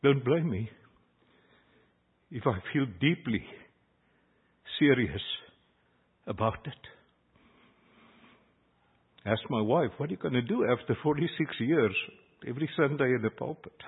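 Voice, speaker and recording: A man's voice: medium pitch, unhurried, pauses often, husky, phone-quality sound. An older South African man whose first language is Afrikaans, a pastor preaching an earnest, emphatic sermon that rises on key phrases. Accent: South African English.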